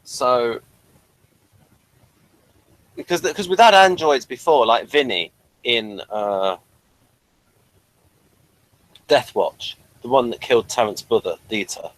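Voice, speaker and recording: Male review host, mid-pitched, informal and unscripted, feels his way haltingly through each thought. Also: fairly bright tone, low-quality recording. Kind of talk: casual unscripted review chat.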